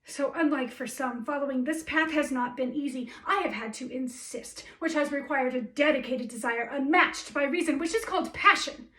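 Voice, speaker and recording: A woman acts out an ambitious, dynamic woman in a theatrical monologue. She is brisk, witty and emphatic, with a high voice, heard at a distance in a roomy space. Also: bright, forceful tone. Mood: indignant, passionate. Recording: roomy echo, distant microphone.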